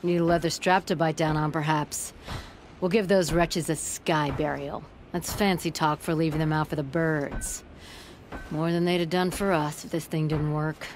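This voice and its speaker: A gruff cocky forge woman in a video game, slightly low voice, proud n teasing, bit of background noise. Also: steady tone, moderate pace. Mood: wry, proud. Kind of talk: video game character acting.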